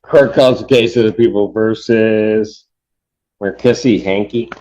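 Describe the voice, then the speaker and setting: A man's voice: on the low side, steady tone, a little slow, darkish tone, soft background music. Blunt male judge, dull courtroom audio. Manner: blunt, plainspoken. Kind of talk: courtroom remarks from the bench.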